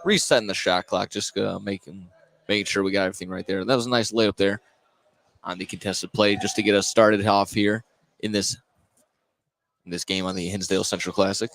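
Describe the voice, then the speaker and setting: A man doing live play-by-play basketball commentary, a little halting, often repeating and correcting himself. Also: fairly low pitch, fairly even intonation, a bit bright. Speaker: play-by-play announcer. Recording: clear gym ambience, bouncing basketballs. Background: basketball game in a gym.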